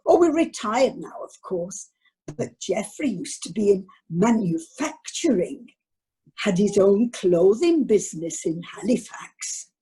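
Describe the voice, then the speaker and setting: A woman playing a fussy, chatty retired wife with a northern English accent and a husky voice in an amateur radio comedy. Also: mid-range voice, animated, unhurried, dark tone. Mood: polite, gushing. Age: older. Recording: online video meeting audio.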